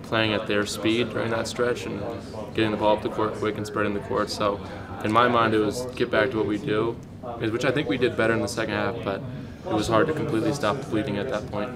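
Flat, calm teen basketball player. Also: male voice, lowish voice, fast talker, a loud crowd. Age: teens. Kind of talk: postgame press conference. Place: small indoor media room. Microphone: handheld recorders held up by reporters.

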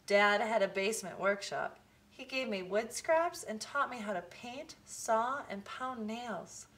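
A woman reading a children's picture book aloud, with expressive, deliberate phrasing. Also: a little high. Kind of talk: storybook read-aloud for children.

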